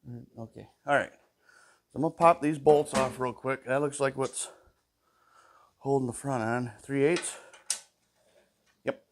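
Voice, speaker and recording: A man's voice: medium pitch, steady tone, measured pace, long pauses, slight background noise. A man with a stuffy nose talking to himself while he takes apart an old mower in his workshop, humming between tasks. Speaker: small engine repair vlogger. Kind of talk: casual talk while working.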